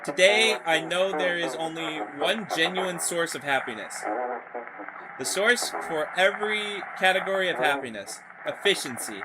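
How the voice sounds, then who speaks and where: A man voicing a solemn former diplomat and canal developer in formal, measured oratory, reading a horror story aloud. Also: on the high side, animated, noisy background. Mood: solemn, grandiose.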